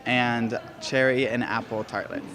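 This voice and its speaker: A man's voice: medium pitch, flat delivery, measured pace, crowd noise. A man answers interview questions about his cooking, plainly and a little hesitantly. His tone is easygoing. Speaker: chef competitor.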